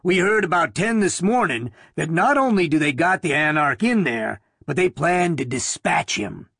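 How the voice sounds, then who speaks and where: A burly, sullen man in his late thirties, gruff and quick to get worked up, his voice lifted above the narrator's own. A man voices him as he reads the story aloud. The tone stays husky throughout. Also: no room sound, clean studio sound, heavily acted. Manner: gruff, excitable, rises to falsetto.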